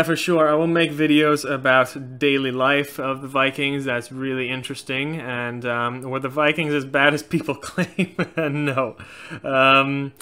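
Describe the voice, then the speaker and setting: A man in his thirties with a light Norwegian accent answers viewer questions in a casual, outspoken way. His voice is bright and crisp. He sits close to a webcam in a small indoor room. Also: medium pitch. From Norway. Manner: frank, animated, gestures a lot. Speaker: channel host. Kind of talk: casual Q&A chat.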